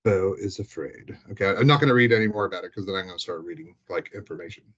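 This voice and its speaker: Low-voiced man in casual chat. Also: fast, fairly bright tone, dull audio. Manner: informal, mildly sweary, thinking aloud. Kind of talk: casual chat about films.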